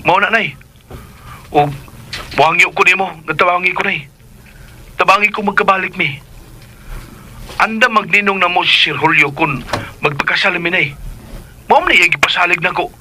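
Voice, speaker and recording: A man's voice: high voice, normal speed, pauses often, pushed and bright, slight background noise, low-quality recording. An actor with a Filipino Cebuano accent playing a lovesick grown son, earnest and insistent with his mother in a radio drama. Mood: pleading.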